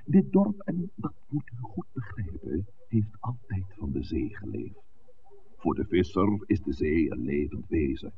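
An erudite, wry village priest, with a very low voice that moves expressively up and down. He lectures in measured, articulate phrases, on a muffled old radio drama recording. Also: big pitch swings, slight background noise, exaggerated voice. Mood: earnest, explanatory. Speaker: actor in a radio play. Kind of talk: 1960s Dutch radio drama acting.

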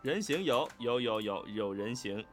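A man co-hosting a fan quiz livestream, chatting casually and echoing short words in quick bursts as he reacts to answers. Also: mid-range voice, animated, soft background music. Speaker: co-host of a fan quiz livestream for an audio drama.

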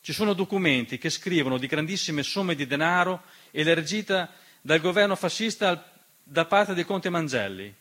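A man delivering a scripted radio theatre monologue, measured and dramatic, with deliberate pauses. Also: a little high, expressive, fairly bright tone. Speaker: stage storyteller and narrator. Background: piano music.

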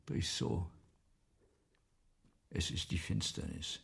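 Slow, gravelly, brooding writer. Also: male voice, sits low, a bit bright, exaggerated voice. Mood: wry, grave. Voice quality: gravelly, breathy. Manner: halting, ponderous, formally polite. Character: brooding, weary male writer, courteous and hesitant. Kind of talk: German radio play acting.

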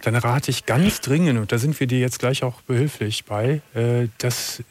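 A man hosting a late-night call-in radio show, speaking calmly and earnestly and often repeating words. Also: medium pitch, moderate pace, slight background noise. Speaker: radio host.

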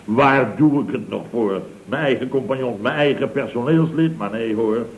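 A man's voice: mid-range voice, normal speed, close to an everyday voice. A man playing a scheming, self-pitying boss of a building firm in a radio comedy drama, theatrical and wheedling. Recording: dull old radio recording with hiss.

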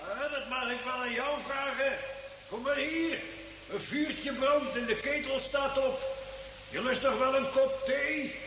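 A man's voice: very high voice, fairly even intonation, a little slow, pauses often, bright, forceful tone, full character voice. Hearty bushman, ringing with echo. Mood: hearty, calling. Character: hospitable rough bushman, a dingo hunter by his campfire. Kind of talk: Dutch radio drama acting. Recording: echoing hall, faint hiss.

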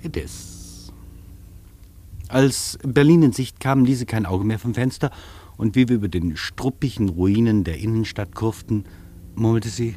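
An actor playing a reflective travel writer, recounting a journey in literary first-person radio narration. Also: male voice, fairly low pitch, unhurried, mellow. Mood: observant.

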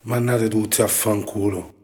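An actor playing a blunt, unbothered Roman man with a husky voice and laconic retorts in a radio play. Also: on the low side, level tone, unhurried, darkish tone. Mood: dismissive. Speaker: voice-over commentator. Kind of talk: radio theatre interjections.

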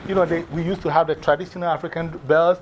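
Ghanaian guy teaching a class, higher voice, lively, faint room noise in the back. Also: fast, highs cut off. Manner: lively, repeats and spells out words, laughs often.

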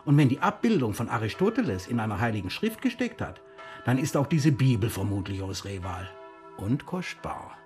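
An actor playing a learned, knowledgeable expert in a radio drama. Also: male voice, mid-range voice, sing-song, moderate pace, a little mellow, soft background music, close to an everyday voice. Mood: impressed, curious. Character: learned archive expert appraising an old Bible.

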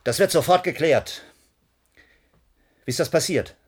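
A man's voice: fairly high pitch, a little slow, slightly rough. An actor in a German radio play, playing a stern, exasperated male project leader under pressure with a commanding manner. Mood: shocked, troubled. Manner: commanding, indignant. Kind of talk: German radio drama acting.

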